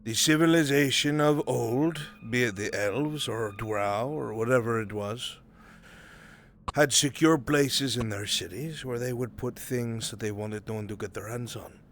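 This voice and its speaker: A man playing a worldly, sly fantasy adventurer in live roleplay, with deliberate, coarse-mouthed delivery. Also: mid-range voice, fairly bright tone, slight background noise. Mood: grave storytelling. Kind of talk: live improvised fantasy roleplay in virtual reality. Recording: background music behind the voice.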